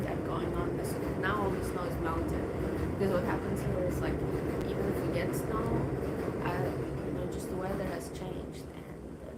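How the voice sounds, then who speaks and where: A woman with a Russian accent, a native Russian speaker, chatting casually on a livestream in a halting way full of fillers. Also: fairly low pitch, very noisy. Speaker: livestream host.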